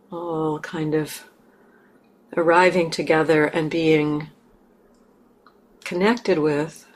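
A woman meditation teacher leading a guided meditation gently and haltingly, in short phrases with long pauses. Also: low-pitched, low-quality recording. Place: meditation center hall. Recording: clear background noise, room ambience.

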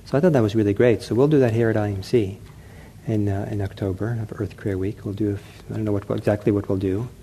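Soft-spoken, mellow male meditation teacher. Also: lowish voice, steady tone, a little hiss or hum. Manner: gentle, unhurried, halting with many fillers and restarts. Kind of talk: dharma talk. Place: meditation center hall.

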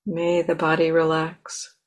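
A woman teacher leading a guided meditation in a gentle, unhurried way with long pauses between phrases. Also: low voice, completely flat delivery.

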